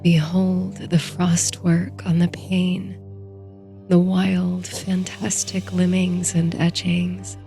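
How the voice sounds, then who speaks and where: A woman narrating a scripted bedtime story and guided meditation in a soothing, hushed, breathy way. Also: lowish voice, monotone, slow, soft background music. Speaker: host and narrator of a sleep story series.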